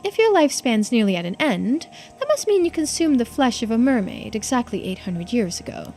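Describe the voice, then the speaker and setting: A woman voicing a teasing, shrewd investigator in a visual novel, with a knowing, theatrical, drawn-out delivery. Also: fairly high pitch, very animated, darkish tone, soft background music. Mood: probing.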